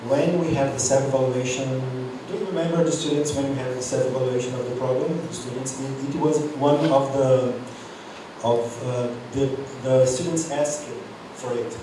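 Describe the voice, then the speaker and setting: Hesitant man in an echoing hall. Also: mid-range voice. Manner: hesitant, repeats words. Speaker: former program coordinator. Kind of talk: panel discussion. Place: university auditorium. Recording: echoey hall, distant mic, noisy.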